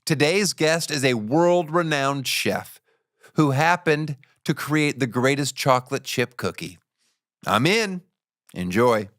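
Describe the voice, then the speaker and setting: A man's voice: medium pitch, expressive, takes it slowly, a bit bright, dry recording, studio quality. A man hosting a podcast, talking in a blunt, teasing, joking way. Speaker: podcast host. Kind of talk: comedic podcast banter.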